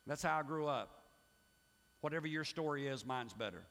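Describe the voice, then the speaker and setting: A man's voice: fairly high pitch, moderate pace. A middle-aged man preaching from the pulpit with a slightly raised voice, pressing hard on some lines and dropping almost to a murmur on others. His words ring out with a long reverb through a big church hall. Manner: emphatic preaching that swings from loud to hushed. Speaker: pastor. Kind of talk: sermon. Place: church sanctuary.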